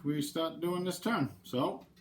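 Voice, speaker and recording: A man's voice: fairly high pitch, slow pace, slight background noise. A man with a head cold hosting his channel, talking casually through a board game playthrough and explaining the rules step by step. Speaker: channel host.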